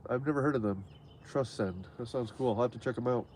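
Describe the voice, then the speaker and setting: A man's voice: medium pitch, fast, a little mellow, slight background noise. A man with a stuffy nose, casually talking through a live fishing stream from out on a lake, with lots of fillers and restarts. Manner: rambling, many fillers and restarts. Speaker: fishing livestream host.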